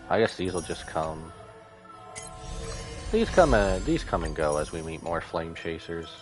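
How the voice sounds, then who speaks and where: A man's voice: deep voice, big pitch swings, unhurried. A man livestreaming a game, casually thinking aloud as he comments. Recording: game music behind the voice. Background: game music.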